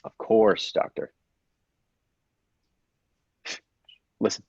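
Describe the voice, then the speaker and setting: A man's voice: normal speed, dark tone, dry recording, dull audio, natural voice. A man playing a persuasive, controlling scientist in an old-style radio play, with a commanding, insistent manner. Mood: eager, commanding. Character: persuasive, controlling male laboratory scientist with dangerous ambitions.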